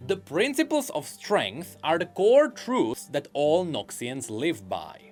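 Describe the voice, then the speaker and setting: A man's voice: on the high side, very animated, slow, a little mellow, faint music behind. A man narrating a scripted commentary video about game character lore, eager and explanatory.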